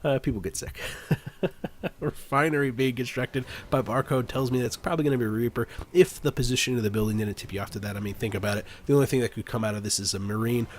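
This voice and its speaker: A man's voice: mid-range voice, fast, a little hiss or hum. Nasal, stuffed-up man casting a game. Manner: rambling, with frequent "uh" fillers. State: stuffy nose from flu. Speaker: game caster. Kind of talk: casual game commentary.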